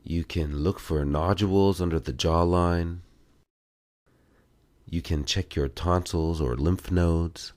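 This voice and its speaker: A man giving guided meditation and self-massage instructions in a measured way, pausing between short phrases. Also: low voice, darkish tone, dry recording.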